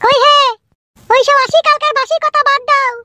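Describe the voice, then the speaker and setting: Shrill, nagging village wife. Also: female voice, level tone, normal speed, background noise. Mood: agitated, urgent. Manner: urgent, nagging. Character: shrill agitated village wife and mother-in-law. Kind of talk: comedy cartoon dialogue.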